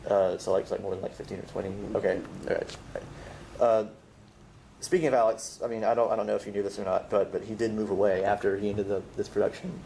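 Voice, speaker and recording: A man's voice: on the low side, level tone, quick pace, vocal fry, dark tone, a little hiss or hum, rough recording. A man playing an awkward young amateur interviewer in found footage, asking probing questions in a tentative, stammering, repetitive way.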